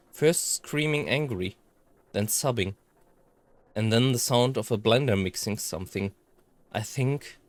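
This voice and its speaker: A man reading a visual novel aloud in a halting, slightly theatrical way. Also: on the low side, a bit bright. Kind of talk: expressive read-aloud narration of a visual novel.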